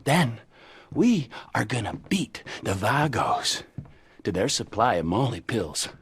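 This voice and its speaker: An actor voicing a manic, foul-mouthed male crime boss who lurches from whispers to shouts while briefing a game heist. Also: medium pitch, very animated, slow, slightly rough, exaggerated voice. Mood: scheming.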